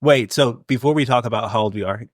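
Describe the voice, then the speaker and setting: A man in his twenties co-hosting a podcast, teasing and reacting in casual chat. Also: mid-range voice. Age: 20s. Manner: playful, teasing, quick reactions. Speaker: podcast co-host.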